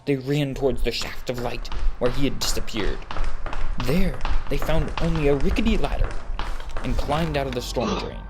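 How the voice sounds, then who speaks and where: Man narrating a story over loud music. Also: mid-range voice.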